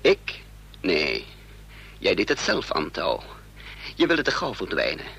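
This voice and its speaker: An actor playing a troubled man caught up in intrigue, narrating his own story with measured drama in a radio play. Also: low-pitched, wildly swooping pitch, creaky, sharp tone, husky, heavily acted. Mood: urgent. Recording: faintly noisy, humming radio play recording.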